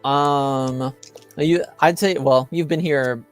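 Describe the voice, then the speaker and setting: A man of average pitch narrates a tabletop game as its game master. His delivery is very slow and halting, casual and made up as he goes, over faint music. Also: steady tone, smooth.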